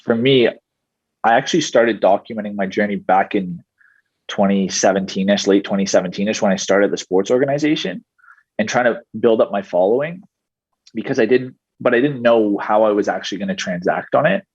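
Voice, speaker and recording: Man, mid-twenties, husky and slightly low voice, dry close sound with a muffled, dull edge; measured podcast guest. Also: no room sound. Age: 20s. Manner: steady, explanatory, measured. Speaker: guest, digital marketing entrepreneur. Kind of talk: podcast interview answers.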